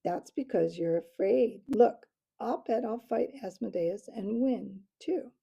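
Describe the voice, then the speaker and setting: A woman's voice: fairly high pitch, big pitch swings, a little slow, creaky, husky, dull audio, pitched above the performer's own voice, full character voice. Woman voicing a bold defiant young mouse. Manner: earnest, defiant, shouting challenges. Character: bold, stubborn young male mouse hero.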